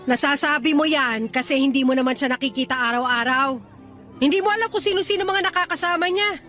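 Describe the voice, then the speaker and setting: Woman acting older mother, very high pitch, blunt, nagging, radio drama, faint music behind. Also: fast, pushed and bright. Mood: scolding. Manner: scolding, insistent. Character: blunt, nagging older mother warning her married daughter.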